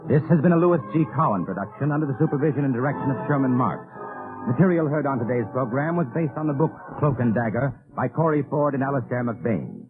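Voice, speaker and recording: A man's voice: medium pitch, expressive, fast talker, phone-quality sound. Formal male announcer, vintage radio music bed. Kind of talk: formal 1950s scripted credits reading. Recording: thin old radio broadcast.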